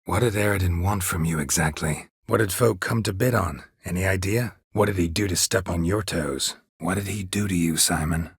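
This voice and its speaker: An actor playing a gruff, stoic, sardonic middle-aged monster hunter in a video game, a gravelly rasp and terse, deadpan delivery. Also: male voice, sits low, normal speed, hardly any pauses, no echo, clean studio sound, full character voice. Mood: dry, questioning. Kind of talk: video game character dialogue.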